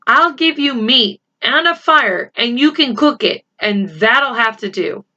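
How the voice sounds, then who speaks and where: A worried young boy, read by a woman, a little raised in pitch. He explains earnestly and haltingly in a storybook manner, on a slightly muffled recording. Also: lively intonation, pushed and bright, exaggerated voice. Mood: reluctant. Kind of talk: children's storybook character voice.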